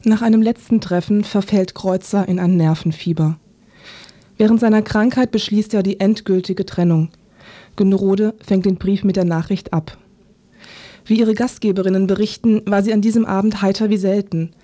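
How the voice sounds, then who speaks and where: A woman narrating a scripted literary radio feature in a sober, measured manner. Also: medium pitch, steady tone, mellow.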